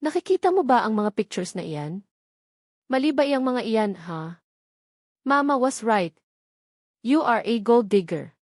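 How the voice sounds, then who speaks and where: A woman's voice: a little high, sing-song, a little slow, pauses often, darkish tone, no echo, studio quality, exaggerated voice. A woman voicing a cruel, angry, betrayed man in an audiobook, harsh and accusing, with a Filipino accent. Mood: furious, accusing. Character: furious, cruel, betrayed man, domineering former groom.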